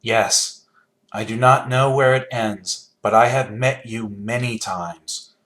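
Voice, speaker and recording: An actor playing a solemn, cryptic man in a video game, speaking in a measured, formal manner. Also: medium pitch, fairly bright tone. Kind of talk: video game character dialogue.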